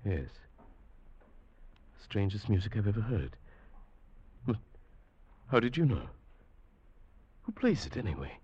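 Haunted male narrator, swinging dramatically in pitch. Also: big pitch swings, unhurried, long pauses, breathy edge, muffled recording, exaggerated voice. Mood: puzzled. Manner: dramatic, hushed swelling to agitated. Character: uneasy male English traveller narrating an eerie tale. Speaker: lead actor and narrator. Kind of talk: 1940s radio drama acting, first-person scripted narration. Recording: old radio broadcast with music.

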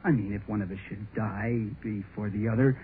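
An actor playing an excited, nervous young American husband in a 1930s radio drama, gushing and rambling in slang on a scratchy recording. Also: male voice, fairly low pitch, moderate pace, phone-quality sound, lightly acted.

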